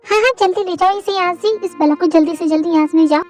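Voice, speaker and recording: A sharp-tongued middle-aged mother-in-law, voiced by a woman who scolds in a high, dismissive tone. She is contemptuous and impatient, with faint music behind her. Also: fast talker. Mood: scornful.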